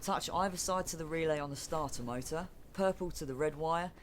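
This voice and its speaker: An actor playing a chatty, sinister mechanic's sidekick in an audio drama who sounds falsely reassuring. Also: male voice, on the high side, fairly quick, slight background noise, close to an everyday voice.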